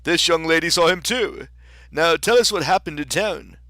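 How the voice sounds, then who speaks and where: A man voicing a pompous, excitable old king in a storybook, with a blustering, emphatic delivery. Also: a little high, normal speed, no room sound, studio quality, pitched above the performer's own voice. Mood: eager, pompous.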